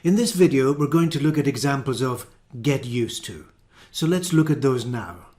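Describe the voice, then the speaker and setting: A man's voice: medium pitch, lively intonation, normal speed, darkish tone. A man teaching an English grammar lesson, carefully explaining and repeating each example sentence.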